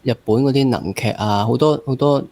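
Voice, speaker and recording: A man's voice: medium pitch, brisk, a little mellow, slight background noise. A man with a Hong Kong Cantonese accent hosting a casual interview, asking questions in colloquial speech. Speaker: interviewer, host.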